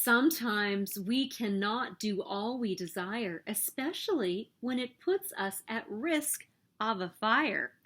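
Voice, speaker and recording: A woman reads a picture book aloud for young children. Her voice is fairly high and moves in big, animated swings. She takes her time and leans on key words in an expressive storytelling manner. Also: breathy edge. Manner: expressive, emphatic storytelling with sing-song refrains. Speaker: children's story reader and host.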